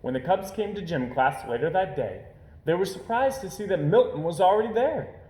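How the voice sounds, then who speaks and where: A man reading a children's story aloud as storyteller, with expressive narration. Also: high-pitched, normal speed. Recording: roomy, echoing home recording.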